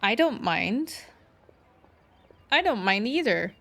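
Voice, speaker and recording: A woman's voice: on the high side, big pitch swings, slow pace, gravelly, a bit bright. Chatty woman streaming a game. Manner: chatty, reacts aloud to the game. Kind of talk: casual live-stream commentary.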